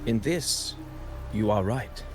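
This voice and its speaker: An actor playing a calm, enigmatic wandering poet in a video game, speaking in a measured, lyrical and formal way with deliberate pauses. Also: male voice, lowish voice, music in the background. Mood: wistful. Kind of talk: dramatic video game dialogue, poetic narration.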